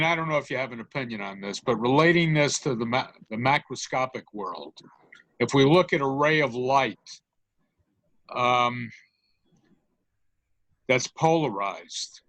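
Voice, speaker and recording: A man asks questions in a halting, hesitant way, stopping and restarting. The recording is dry with little room sound, and his pitch is average. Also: a bit bright, dry recording, poor audio. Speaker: meetup attendee. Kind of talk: questioning in discussion.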